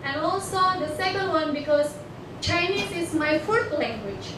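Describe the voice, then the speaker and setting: A young woman from Indonesia with an Indonesian accent, whose first language is Batak, giving a personal talk to an audience in halting English and often searching for words. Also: sits high, lively intonation. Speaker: international student speaker. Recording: echoey hall, far mic, noisy.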